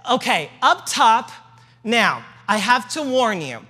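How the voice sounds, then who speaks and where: A man with a Midwestern accent lectures to a room in a loose, joking way, full of asides and fillers. His voice is bright and forward. A long hall reverb trails each phrase. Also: very high-pitched, sing-song, takes it slowly. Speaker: church history speaker. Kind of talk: lecture with slides.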